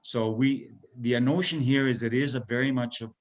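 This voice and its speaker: A man's voice: medium pitch, fairly even intonation, normal speed. A man moderating a forum panel, introducing each speaker in a loose, rambling way with many restarts.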